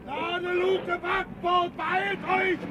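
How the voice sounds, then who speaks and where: Casting: ship's crewman for television drama, piercing high forceful voice, barking urgent orders. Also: level tone, takes it slowly, lo-fi sound, a little hiss or hum, exaggerated voice. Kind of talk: German television drama acting.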